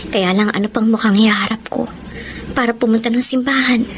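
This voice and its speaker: A Filipino woman acting a guilt-ridden young bride in a romantic radio drama, with an anguished, drawn-out delivery. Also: a little high, steady tone, a little hiss or hum, lightly acted. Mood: resigned remorse. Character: heartbroken, guilt-ridden young bride who betrayed her fiancé. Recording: music under the voice.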